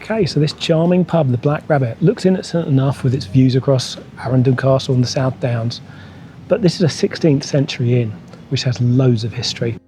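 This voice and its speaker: A man's voice: mid-range voice, brisk, dark tone, slight background noise. A man hosting a motorcycle travel vlog, narrating local history and legends in a storytelling style.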